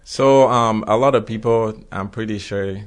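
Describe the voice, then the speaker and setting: A man's voice: on the low side, moderate pace, clean tone. A young man from Cameroon, co-hosting a podcast in easygoing casual conversation, often repeating himself and using fillers. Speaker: podcast co-host.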